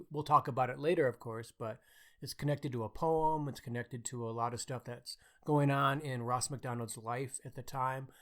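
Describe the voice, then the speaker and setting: A man talks as one of two podcast hosts, in casual, conversational book chat. His voice sits at an average pitch for a man. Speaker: podcast co-host.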